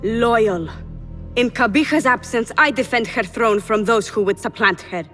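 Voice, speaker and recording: Scheming young female game villain, fairly high pitch, controlled, sly, coldly loyal, score underneath. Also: measured pace, fairly bright tone, soft background music. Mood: cold, proud. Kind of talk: video game cutscene acting.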